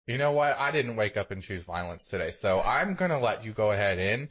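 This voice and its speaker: A man's voice: lowish voice, lively intonation, fairly quick, fairly bright tone. A man co-hosting a podcast, telling stories in a casual, sarcastic way and swearing freely. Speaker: podcast co-host. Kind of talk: casual chat, anecdotes.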